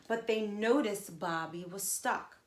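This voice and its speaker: A woman reading a children's story aloud like a teacher, with emphatic, expressive narration addressed to young listeners. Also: medium pitch, slow pace. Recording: echoey, roomy sound.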